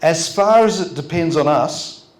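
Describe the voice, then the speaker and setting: An older Australian pastor preaching in short, gentle phrases, pausing so an interpreter can translate his sermon. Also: a little high, lively intonation. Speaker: visiting pastor. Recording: roomy hall echo.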